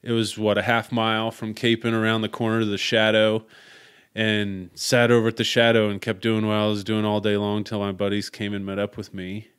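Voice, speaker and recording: A man, hosting a podcast interview and telling stories in a loud, outgoing way. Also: fairly low pitch, monotone, fairly quick, clear, resonant tone. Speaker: podcast host. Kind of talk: casual conversational interview.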